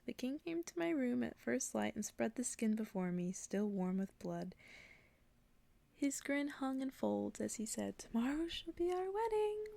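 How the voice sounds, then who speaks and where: A woman reading a story aloud as ASMR in an intimate, hushed whisper. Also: on the high side, big pitch swings, unhurried, vocal fry, no room sound. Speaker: ASMR reader.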